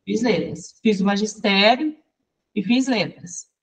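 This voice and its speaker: A Brazilian woman, a songwriter and teacher, recounting her life in a chatty, lively interview. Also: medium pitch, unhurried, husky, rough recording. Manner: lively, chatty, restarts and laughs while recounting. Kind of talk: personal storytelling in conversation.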